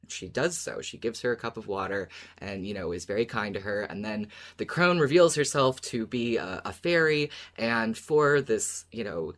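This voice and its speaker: Giggly woman podcaster telling stories. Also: a bass voice. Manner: giggly, conversational storytelling. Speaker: podcast co-host. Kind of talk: casual podcast chat, retelling stories.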